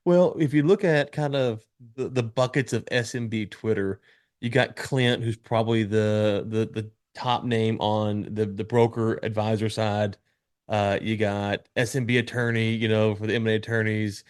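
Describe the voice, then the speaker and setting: A man's voice: fairly low pitch, fairly even intonation, moderate pace, clear, resonant tone, no echo. Man in his thirties, podcast host, rambling chatty delivery, dry close sound. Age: 30s. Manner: chatty, rambling with restarts and laughs. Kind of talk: casual interview chat.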